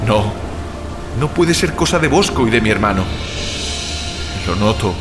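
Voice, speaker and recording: Guy acting a rattled, sarcastic brother in an audio thriller, spain accent, pitch swings around, loud music underneath. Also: close to an everyday voice. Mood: uneasy, brooding. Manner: agitated, incredulous, sarcastic. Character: alarmed, defiant, sarcastic younger-generation brother, bewildered and foul-mouthed. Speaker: actor in an audio drama. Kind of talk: Spanish audio fiction acting with inner narration. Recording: dramatic music bed under the voice.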